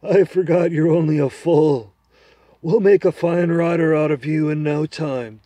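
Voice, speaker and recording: A man voicing a proud, scornful male talking horse in a storybook reading, loud and lecturing. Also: a little high, fairly even intonation, measured pace, no room sound, pitched above the performer's own voice, close to an everyday voice. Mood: confiding. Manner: haughty, lecturing, loud and emphatic.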